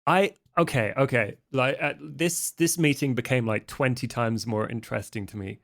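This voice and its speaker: A man with a medium-pitched voice plays a tabletop roleplaying game. He talks casually, improvising banter as he goes. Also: normal speed, gravelly, fairly bright tone.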